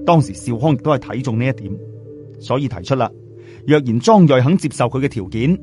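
A man narrating a story in a lively, colloquial Cantonese storytelling style, chatting in asides to listeners. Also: on the high side. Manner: chatty, uses sentence particles and asides to listeners. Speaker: audiobook storyteller.

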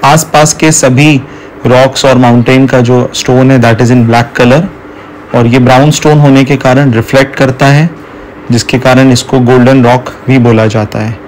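A man's voice: mid-range voice, fairly even intonation, unhurried, a little hiss or hum, rough recording. A man narrating a travel guide to sights along a coast, explaining each spot and mixing in English words.

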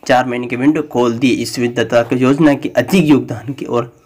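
A man hosting a news channel, reading a bulletin aloud in a flat, halting way. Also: mid-range voice.

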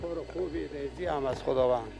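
A middle-aged male packing worker speaks in short, clipped phrases. His voice is fairly high and heavily gravelly, caught on a reporter's handheld mic in a factory hall. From Afghanistan. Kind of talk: brief interview answers. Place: large indoor factory hall. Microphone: handheld reporter microphone held toward him.

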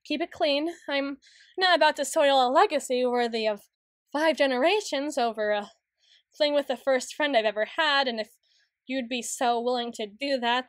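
A woman playing a proud, anxious, easily flustered tsundere young woman in an intimate ASMR roleplay, stammering and halting with wordy, formal phrasing. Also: high voice, no room sound, clean studio sound, close to an everyday voice. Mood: flustered, defensive. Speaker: audio roleplay voice actor.

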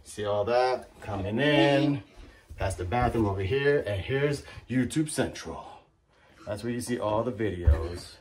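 Laid-back man with low-key slangy chat, noisy recording. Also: animated, unhurried. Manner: informal, slangy. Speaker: vlogger giving a room tour. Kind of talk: casual video walkthrough chat. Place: carpeted room at home. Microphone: phone held in hand. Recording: noisy handheld home recording with clicks.

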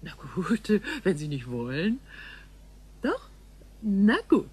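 An actress playing a blunt, opportunistic woman with a husky voice, talking in a hesitant conversational way in a sci-fi radio drama. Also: lowish voice, sing-song, takes it slowly, a little mellow, exaggerated voice. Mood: scheming, casual. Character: blunt, opportunistic worldly woman, brokering a deal. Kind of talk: science fiction radio drama acting.

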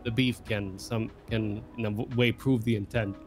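A man roleplaying a lawyer who cross-examines a witness in a deadpan, mock-serious way. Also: on the low side, normal speed, soft background music. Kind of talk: mock-serious courtroom questioning.

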